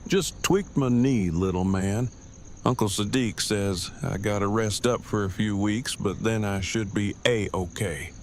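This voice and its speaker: A man playing a gentle, reassuring survivor father with slightly stilted speech in an audio drama. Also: lowish voice, unhurried, a little hiss or hum, lightly acted. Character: formal-worded, gentle survivor father with an injured knee.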